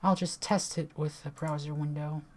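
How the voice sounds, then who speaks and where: A woman's voice: sits low, fairly even intonation, normal speed, darkish tone. Tired-voiced woman narrating a screen recording. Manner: chatty, swears casually, reads on-screen text aloud. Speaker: tech video creator. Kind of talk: casual screen-recorded software walkthrough.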